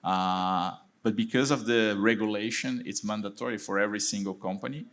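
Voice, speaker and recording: A man's voice: fairly low pitch, fairly even intonation, a little fast, a bit bright. A Brazilian-accented man, a venture partner, introducing himself on a panel with many fillers and hesitations. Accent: Brazilian Portuguese.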